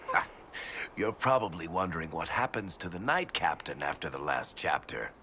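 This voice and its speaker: A man's voice: low-pitched, sing-song, normal speed, sharp tone, a little hiss or hum, exaggerated voice. An actor voicing a wry, boastful male dwarf author in a video game, teasing and mock-humble.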